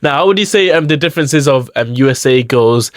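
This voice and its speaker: A man's voice: medium pitch, lively intonation, a little fast, no room sound, clean studio sound. A young man, asking questions in a laid-back, teasing, slangy way. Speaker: interviewer. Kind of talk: casual chat.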